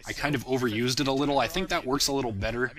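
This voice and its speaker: A man's voice: medium pitch, steady tone, very fast. A young man giving a casual, rambling and self-deprecating commentary on his own work. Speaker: creator of a fan parody series, commentator.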